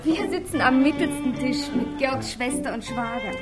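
A woman's voice: sits high, moderate pace, loud music behind the voice, natural voice. An actress playing a gushing, sociable woman guest at a party in a 1950s German radio comedy. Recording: roomy vintage recording, loud party crowd.

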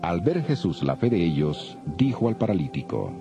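A man's voice: low voice, sing-song, normal speed. A man narrating the Bible in a solemn, dramatic, scripted storytelling style. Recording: dull lo-fi, music behind.

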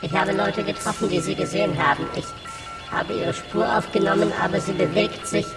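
Reverberant, distant deferential informant. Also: male voice, high-pitched, wildly swooping pitch, fairly quick, creaky, full character voice. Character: deferential male informant and tracker, Mister Ivan. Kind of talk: radio drama acting. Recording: long reverb, distant, music behind.

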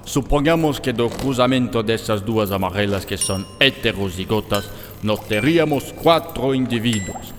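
A man's voice: on the low side, moderate pace, a little hiss or hum, close to an everyday voice. Man playing German-accented eccentric scientist in reverberant hall. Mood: patient explaining. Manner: didactic, peppers speech with German words. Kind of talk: scripted radio drama dialogue. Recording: long hall reverb, music bed.

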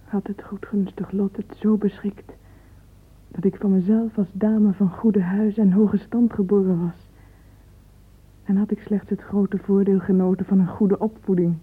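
An actress playing a dreamy, lovesick young woman in a radio play, thinking aloud in a husky, yearning voice. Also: mid-range voice, flat delivery, measured pace. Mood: haughty, dreamy. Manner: wistful, yearning. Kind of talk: radio drama interior monologue. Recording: muffled, phone-like radio play recording.

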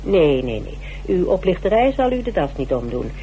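An actress playing a spirited, teasing private detective in a radio drama, speaking in an informal, pert way. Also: female voice, lowish voice, big pitch swings, moderate pace, warm tone. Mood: taunting, accusing. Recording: humming, noisy radio play recording.

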